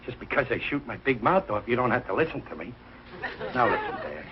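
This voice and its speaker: Actor as blustering American dad, noisy vintage TV. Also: male voice, mid-range voice, very animated, brisk, some chatter behind, natural voice. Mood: downcast, pleading. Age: middle-aged. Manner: blunt, slangy, ungrammatical. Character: blustering, soft-hearted working-class American father. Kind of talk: 1940s television sitcom acting. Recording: noisy, dull old television kinescope soundtrack.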